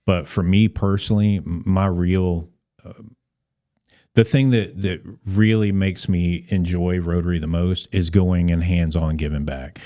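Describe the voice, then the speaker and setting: Deep voiced guy on a podcast, really mellow n relaxed, earnest, explains stuff. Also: unhurried. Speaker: podcast guest, civic club member. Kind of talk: conversational interview.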